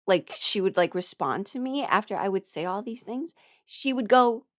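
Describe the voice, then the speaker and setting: A woman talking casually and confidingly, giving personal advice in an informal, halting way and often correcting herself. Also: medium pitch, animated, no room sound, studio quality. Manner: informal, halting and self-correcting, chuckles while talking, mimics others in dialogue. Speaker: host, voice performer giving a personal ramble.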